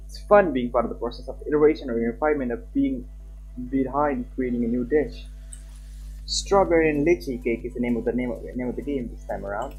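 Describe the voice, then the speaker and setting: A man streaming a visual novel, reading its text aloud in a casual, halting way with his own asides. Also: medium pitch, darkish tone, breathy edge, poor audio. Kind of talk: live gameplay narration with comments. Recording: faint game music behind.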